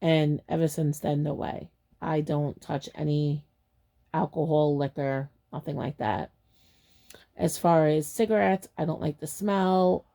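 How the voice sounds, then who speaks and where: Low gravelly woman telling a story. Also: fairly even intonation, measured pace, mellow. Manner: rambling, chatty, acts out quoted reactions. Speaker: storytime channel host. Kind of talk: casual storytime monologue.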